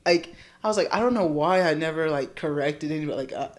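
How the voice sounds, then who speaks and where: American woman, deep voice, casual reflective podcast chat, informal, agreeable. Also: quick pace. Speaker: podcast conversation partner.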